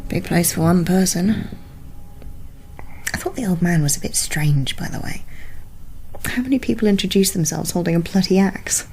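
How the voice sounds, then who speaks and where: Dry, sharp-tongued young wife played by a woman. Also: lowish voice, normal speed, a little hiss or hum, lightly acted, poor audio. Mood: hushed, suspicious. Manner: dry, sardonic, blunt. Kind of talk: naturalistic radio drama acting.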